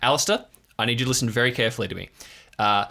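A man voicing a flustered, earnest park ranger with a halting, nervous, reassuring way of talking. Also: medium pitch, vocal fry, sharp tone.

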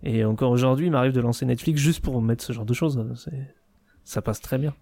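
A man's voice: medium pitch, brisk, creaky, mellow, no room sound. Enthusiastic man chatting, dry close sound. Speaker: podcast guest. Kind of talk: casual enthusiastic chat about cartoons.

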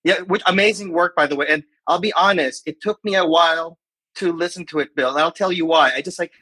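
Bright-voiced man from the Philippines. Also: a little high, brisk, breathy edge. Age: 40s. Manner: reflective, with many fillers and restarts. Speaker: guest. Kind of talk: casual interview talk.

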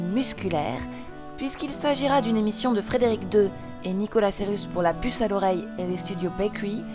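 Female radio host, middle pitch, casual presenter introduction over music. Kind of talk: casual scripted show introduction.

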